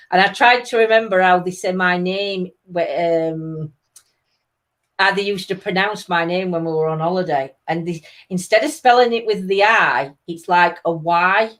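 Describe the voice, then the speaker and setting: A woman's voice: lowish voice, a bit bright. Chatty woman hosting a livestream. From Wales. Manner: chatty, laughing often, reads comments aloud. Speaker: livestream host. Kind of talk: casual livestream chat.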